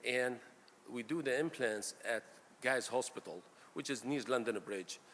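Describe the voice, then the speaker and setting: Middle-aged male doctor from Iraq, halting conference speaker, mic in a reverberant hall with faint hum. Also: medium pitch. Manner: halting, frequent fillers, warm and gracious. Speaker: pain physician giving a conference talk. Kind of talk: conference lecture. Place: large lecture hall.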